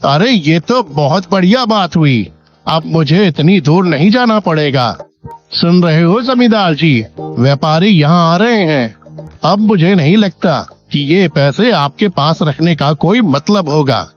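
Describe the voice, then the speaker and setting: A man's voice: fairly high pitch, expressive, measured pace. A man playing a wealthy, kindly and clever village merchant in a cartoon moral story, speaking politely and earnestly. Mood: polite, shrewd. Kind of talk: Hindi animated moral story acting. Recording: dull lo-fi sound, faint music bed.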